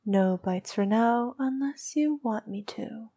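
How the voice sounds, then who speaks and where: A haughty vampire princess, acted by a woman in an ASMR roleplay, with a fairly high yet mellow voice. She speaks very slowly and softly, in a regal, intimate way. Her manner is teasing and noble, with a needy edge. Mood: teasing, possessive. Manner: soft, regal, teasing, sometimes dropping to a whisper.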